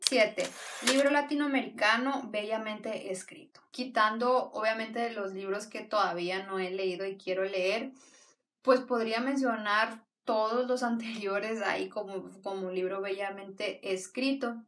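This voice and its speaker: A woman talks through her favorite books in a chatty, enthusiastic way, circling back and restarting her sentences as she goes. Her voice sits at an average pitch and sounds slightly distant from the mic. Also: fairly even intonation, a little slow, fairly bright tone. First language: Spanish. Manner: enthusiastic, rambling with frequent restarts. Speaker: book vlogger. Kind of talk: casual book review talk.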